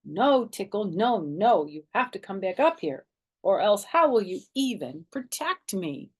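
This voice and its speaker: Woman acting as little schoolgirl, storybook character, excitable, anxious, emphatic, dramatic, childlike phrasing. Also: mid-range voice, measured pace, breathy edge. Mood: bossy, alarmed. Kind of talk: animated children's storybook reading.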